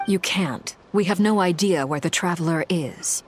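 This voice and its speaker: Lady acting a cold classy boss woman for a game, kinda low voice, deadpan, formal, dry. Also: moderate pace, a little hiss or hum, close to an everyday voice. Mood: composed, serious. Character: cool, composed, dryly condescending female Harbinger commander. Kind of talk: English video game voice acting. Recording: game music behind the voice.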